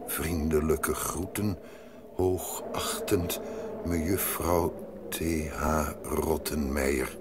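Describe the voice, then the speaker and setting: A man playing a trembling, heartsick old mountain grandfather in an audio drama, speaking haltingly. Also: low voice, noisy background. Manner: slow, halting.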